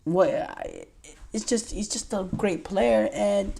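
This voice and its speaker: A man's voice: high-pitched, wildly swooping pitch, slow, gravelly, mellow, slight background noise. A twenty-year-old man hosting a solo sports podcast, talking in a rambling, enthusiastic way with lots of fillers and restarts. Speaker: podcast host.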